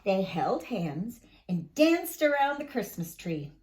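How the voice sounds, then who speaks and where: A woman's voice: mid-range voice, very animated, slow pace, a little mellow, a little hiss or hum. A woman narrating a children's storybook expressively, with dramatic, careful delivery for young listeners.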